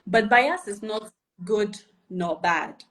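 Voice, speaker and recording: A woman teaching personal branding on a live video session. Her voice sits at an ordinary middle pitch, and she talks patiently and methodically. She builds her points through lists and rhetorical questions and keeps checking that the listener follows. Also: animated, slow pace, a bit bright. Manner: explanatory, checks for understanding with "right?" and "okay?". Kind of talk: instructional live chat.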